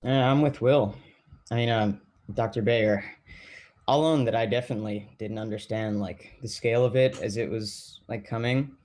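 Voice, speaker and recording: A man playing a hesitant, self-questioning school staffer in documentary theater, talking haltingly with many filler words. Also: mid-range voice, fairly even intonation, a little mellow, close to an everyday voice. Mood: hesitant, reflective. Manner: halting, filler-heavy speech with frequent "like".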